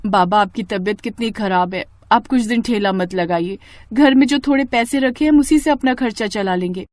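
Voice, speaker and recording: A woman voicing a devoted, worried young daughter in an animated moral story, earnest and pleading. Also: mid-range voice, moderate pace, dry recording, close to an everyday voice. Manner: pleading, emotional.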